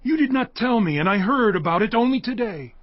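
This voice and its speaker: An indignant ruler in an audio Bible drama. His voice is high and emphatic. The recording is dry and a little muffled. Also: expressive, fairly quick, dry recording. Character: Abimelek, indignant, protesting male ruler.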